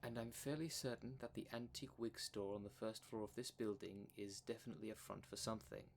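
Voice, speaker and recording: A man's voice: fairly low pitch, fairly even intonation, fairly quick, gravelly, slight background noise. A man playing a courteous, pedantic dream demon in an ASMR roleplay, speaking in formal, wordy, precise phrases. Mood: pedantic, concerned. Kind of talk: ASMR roleplay audio drama.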